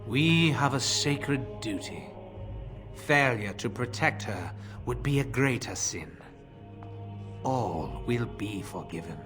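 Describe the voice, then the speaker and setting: An actor playing a zealous, guarded cult priest in a video game, speaking solemnly like a preacher. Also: male voice, mid-range voice, animated, slow pace, over music. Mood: coolly guarded.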